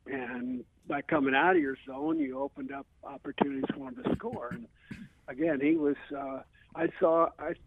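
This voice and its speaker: A man's voice: sits high, very animated, normal speed, gravelly, slightly rough, no room sound. An older American man reminiscing casually as a radio phone-in guest, rambling with plenty of verbal filler. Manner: rambling, peppered with "you know". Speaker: phone-in guest, retired teacher. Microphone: telephone. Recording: tinny narrowband phone line.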